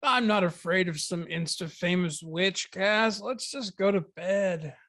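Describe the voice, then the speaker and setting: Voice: medium pitch, unhurried, fairly bright tone. A performer reading the part of a weary, easygoing boyfriend in a script table read, with a casual delivery. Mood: dismissive. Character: Todd, weary easygoing boyfriend of a young witch.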